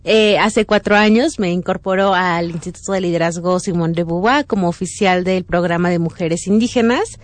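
A woman's voice: on the low side, moderate pace, hardly any pauses. A young woman from Hidalgo with a central Mexican accent, explaining things as an interview guest and often searching for her words. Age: young adult. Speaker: interview guest, program officer.

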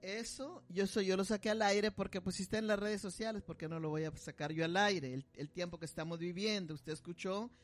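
High-voiced man preaching, amen after amen. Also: fairly even intonation, brisk, few pauses. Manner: emphatic, repeats amen between phrases. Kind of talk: preaching commentary on a video.

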